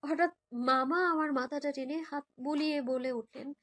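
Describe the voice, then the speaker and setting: A woman's voice: sits high, steady tone, moderate pace, fairly bright tone. A young woman narrates a romantic story in the first person, in an emotive, girlish way, as if living it. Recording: background music under voice.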